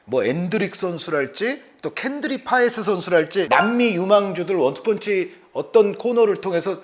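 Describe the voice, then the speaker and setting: A man's voice: high voice, lively intonation, normal speed, a little hiss or hum. A man hosting a football talk show, explaining things in wordy detail to his co-commentator and the viewers.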